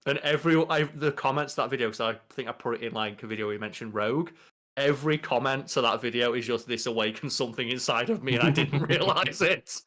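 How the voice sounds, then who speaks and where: A young man with a mid-pitched voice co-hosts a podcast from a small room. He moves between reading aloud and casual, sweary commentary, keeping it informal throughout. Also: fast, pushed and bright. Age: young adult. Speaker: podcast co-host. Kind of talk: casual podcast chat, reading out wiki entries. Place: small bedroom.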